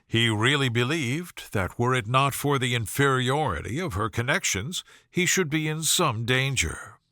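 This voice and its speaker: A man narrating a classic novel as a scripted bedtime story, with measured, formal, expressive phrasing. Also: mid-range voice, no room sound, clean studio sound. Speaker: audiobook narrator.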